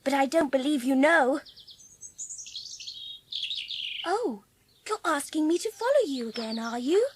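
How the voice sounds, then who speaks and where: A woman playing a lonely, curious young orphan girl in a dramatised audiobook, speaking with wonder. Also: high voice. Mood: excited, wondering. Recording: clearly audible background noise. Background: birdsong.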